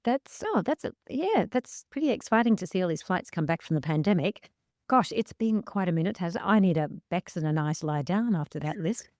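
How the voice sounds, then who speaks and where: A woman's voice: on the low side, expressive, fairly quick, a little mellow, dry recording, studio quality. An Australian woman co-hosting a travel podcast, playful and enthusiastic with jokey asides. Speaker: podcast co-host. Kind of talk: casual travel news chat.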